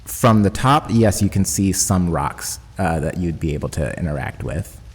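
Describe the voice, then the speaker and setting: A man's voice: deep voice, animated, moderate pace, breathy edge, in a large hall. A man running a tabletop roleplaying game as game master, narrating in a dramatic, descriptive way.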